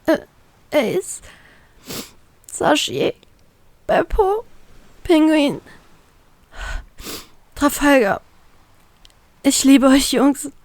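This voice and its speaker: A woman's voice: sits high, expressive, very slow, pauses often, darkish tone, no echo, pitched above the performer's own voice, exaggerated voice. A woman reader voicing a young woman who talks in a light, sweet way and stammers when shaken. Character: young woman, dreamy, cheerful and manipulated.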